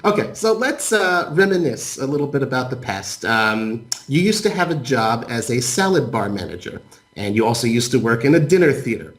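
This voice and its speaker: A man's voice: medium pitch, sing-song, moderate pace. A man interviewing a guest, asking questions in a hesitant way with many fillers.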